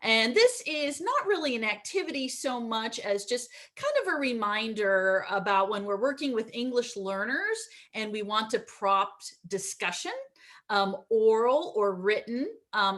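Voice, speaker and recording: Quick upbeat woman on a video call. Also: fairly high pitch, fairly bright tone. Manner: fast, upbeat, frequent ums. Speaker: university teacher trainer. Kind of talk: online teacher training presentation.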